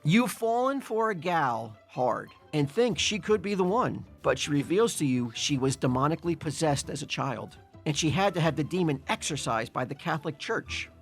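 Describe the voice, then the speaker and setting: A man's voice: on the high side, expressive, normal speed, hardly any pauses. A man talking casually, as on a podcast. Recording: faint music behind.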